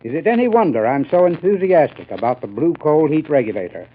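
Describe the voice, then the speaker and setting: An actor playing an enthusiastic, friendly heating expert giving a 1930s radio sales talk. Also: male voice, fairly high pitch, sing-song, fairly quick, husky. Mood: earnest, folksy. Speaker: sponsor's spokesman. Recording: tinny, hissy old radio recording.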